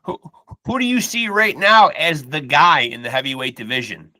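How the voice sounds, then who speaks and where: A man's voice: a little high, sing-song, normal speed, pushed and bright, no echo, low-quality recording. A man hosting a boxing podcast, asking questions in a laid-back way, stammering on restarts and calling his guest "man.". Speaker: podcast host. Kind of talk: casual interview.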